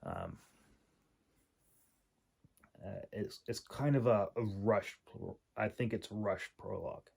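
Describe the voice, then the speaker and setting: A man giving an informal, unscripted critique in a halting, hesitant way, with many pauses and repeated words. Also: lowish voice, huge pitch swings, vocal fry, darkish tone, husky. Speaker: audiobook narrator and manuscript critic.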